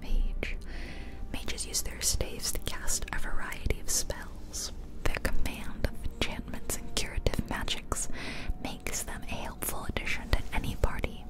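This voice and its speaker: Casting: female ASMR game host, fully whispered and breathy, rambling and informal, ear-to-ear close miking. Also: unhurried, low-quality recording. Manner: casual, rambling, musing aloud. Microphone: close ear-to-ear stereo microphone.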